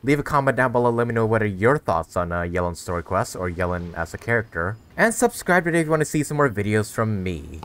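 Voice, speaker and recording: A man's voice: on the low side, sing-song, quick pace, few pauses, a bit bright. A man doing casual let's-play commentary in a rambling, repetitive way. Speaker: gaming YouTuber.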